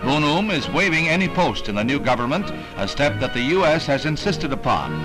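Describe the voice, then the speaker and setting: A man's voice: fairly high pitch, sing-song, brisk. A man with an American accent reading scripted 1960s newsreel narration in a formal, dramatic announcer's delivery. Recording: vintage newsreel soundtrack with music.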